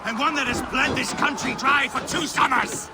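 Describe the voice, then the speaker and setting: An actor playing a brutal, scornful, bloodthirsty Dane warlord in a video game, taunting with contempt. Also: male voice, very high voice, fairly even intonation, normal speed, very noisy. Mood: contemptuous. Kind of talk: video game dramatic acting. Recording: loud music behind.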